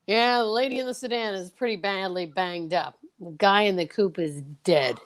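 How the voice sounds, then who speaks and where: A woman's voice: medium pitch, moderate pace, natural voice. A woman playing a curt, suspicious street police officer in an old-time detective radio drama. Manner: curt, plain-spoken.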